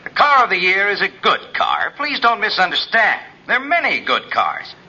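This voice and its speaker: An actor playing an earnest car advertisement announcer on 1950s radio, polished and cheerfully sincere. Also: male voice, high-pitched, huge pitch swings, moderate pace, bright, forceful tone, husky, exaggerated voice. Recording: dull old 1950s radio recording.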